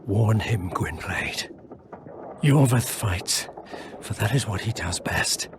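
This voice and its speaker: An actor playing a badly wounded, proud and bitter elf freedom fighter in a video game, weak with pain, halting, breathy and often near a whisper. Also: male voice, lowish voice, noisy background. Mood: fervent. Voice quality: gravelly, breathy, falling into whispers. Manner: halting, defiant. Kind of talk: video game cutscene acting.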